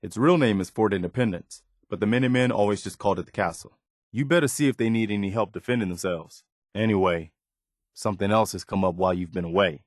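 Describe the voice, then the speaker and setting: A man acting as a dutiful militia officer in a video game. His voice is on the low side and recorded dry and close. He speaks plainly and earnestly, like a loyal idealist who believes in the cause. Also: no echo, lightly acted. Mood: earnest, hopeful. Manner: earnest, measured, plain-spoken. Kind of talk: video game character dialogue.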